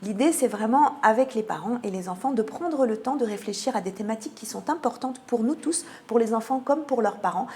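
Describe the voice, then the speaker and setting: A woman answering in an interview as a theatre programming manager, often pausing mid-sentence as she presents a programme. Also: a little high, animated, dark tone. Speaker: theatre cultural programming manager, radio guest.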